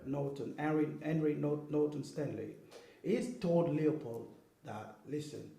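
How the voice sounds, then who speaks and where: Man explaining stuff earnestly, warm dark voice, echoey room. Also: a little high, measured pace. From Congo (Kinshasa). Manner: earnest, checks in with "okay?" and "you know what I mean?". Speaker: interview guest. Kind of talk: explanatory interview talk. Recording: roomy echo.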